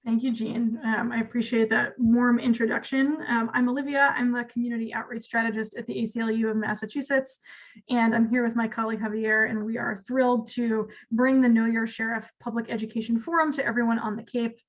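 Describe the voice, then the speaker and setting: A woman with a fairly high voice presents to an online audience. She sounds upbeat and friendly and fills her sentences with ums, heard through video call audio. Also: little pitch movement, fairly quick, low-quality recording. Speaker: community outreach presenter.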